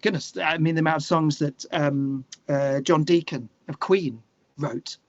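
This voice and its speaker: A man talking casually in an interview, opinionated and animated, thinking aloud and correcting himself as he goes. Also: fairly high pitch, a little slow, no echo, highs cut off. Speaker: interview guest, musician and songwriter.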